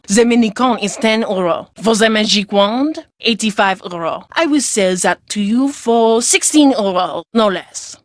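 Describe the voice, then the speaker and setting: A woman's voice: a little high, no room sound, studio quality. A woman voicing a shrewd, theatrical French market vendor in a video game, with a French accent and formal, salesy phrasing. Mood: firm haggling. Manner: formal, slightly stilted foreign phrasing, salesy.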